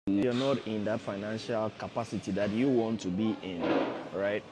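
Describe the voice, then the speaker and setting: A man's voice: on the low side, steady tone, moderate pace, darkish tone, a little hiss or hum. A man in his thirties, a forex trader hosting a casual livestream, who repeats himself and coaxes viewers directly. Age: 30s. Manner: repetitive, coaxing, addresses viewers directly. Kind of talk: casual motivational livestream talk.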